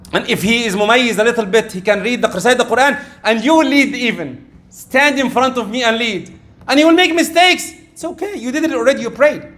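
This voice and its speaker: High-voiced man preaching. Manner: animated, acts out quoted exchanges, rising loud when excited. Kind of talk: sermon.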